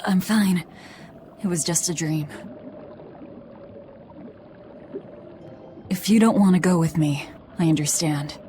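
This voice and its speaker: A woman's voice: on the low side, moderate pace, warm tone, slight background noise, natural voice. An actress playing a hardened young woman bent on revenge in a fantasy game, with a terse, commanding way of talking. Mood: guarded, dry. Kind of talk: dubbed fantasy game acting.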